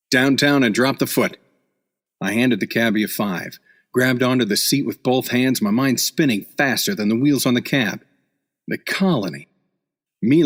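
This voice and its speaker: A man's voice: mid-range voice, lightly acted. A man acting a hard-boiled, wisecracking seasoned newspaper reporter in a noir radio play, with clipped, cynical tough-guy slang.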